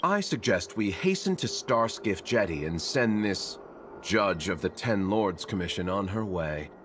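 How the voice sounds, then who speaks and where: Courteous game wanderer, eloquent and wry, lowish lively voice over noisy ambience. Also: male voice. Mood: composed, formal. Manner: formal, measured, eloquent. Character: courteous, wry travelling man with composed formal speech. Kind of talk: scripted video game dialogue.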